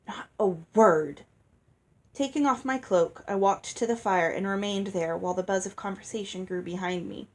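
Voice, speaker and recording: A woman reading a novel aloud as narrator, in a storytelling style. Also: medium pitch. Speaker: audiobook reader.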